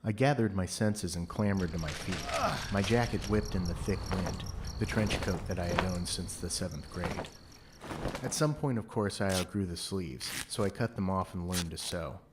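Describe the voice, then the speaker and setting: Low-voiced man narrating a brooding drama, noisy backdrop. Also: normal speed. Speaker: narrator of an audio play. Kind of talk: theatrical first-person scripted narration.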